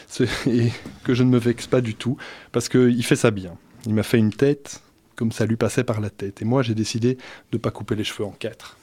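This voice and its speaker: Belgian guy doing a funny column on the radio, lowish voice, dry studio sound, kinda self-mocking. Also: darkish tone, no echo. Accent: Belgian French. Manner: wry, self-mocking storytelling with playful wordplay. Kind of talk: humorous read-out radio chronicle.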